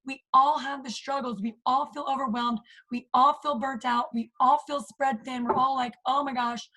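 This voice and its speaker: A woman with a hoarse, failing voice, chatting animatedly on a group call and acting out little quotes. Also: sits high, moderate pace, low-quality recording. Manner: animated, excitable, acts out quotes. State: hoarse, losing her voice. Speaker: team leader in direct sales. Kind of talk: casual group call discussion.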